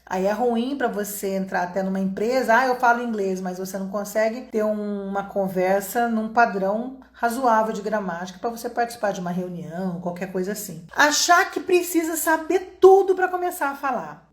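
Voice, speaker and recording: A woman's voice: on the high side, roomy sound. A Brazilian woman teaching English to adult learners in a casual, chatty video lesson, often turning to her viewers directly. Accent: Brazilian Portuguese. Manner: chatty, animated, addresses viewers as "gente".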